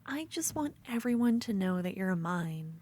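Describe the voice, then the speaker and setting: A woman playing an obsessive, possessive yandere duelist in an ASMR fantasy roleplay, speaking intimately and moving between soft speech and breathy whispers. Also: mid-range voice, lively intonation, normal speed, natural voice. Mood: possessive, sweet.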